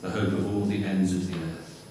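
Deep flat-voiced man reading psalms. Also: moderate pace, slight background noise. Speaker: scripture reader. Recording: distant mic in echoey church.

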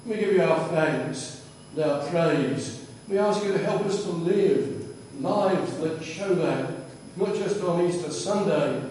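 A man leading a devout, steady prayer aloud in church. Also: on the high side, a little hiss or hum. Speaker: prayer leader. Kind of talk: read prayer. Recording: distant mic in echoey church.

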